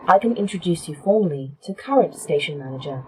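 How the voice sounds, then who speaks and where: A woman playing a defiant artificial intelligence in a sci-fi horror audio drama, speaking formally and with clear articulation. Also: low-pitched, expressive, a little fast, a little hiss or hum, low-quality recording. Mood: coolly formal.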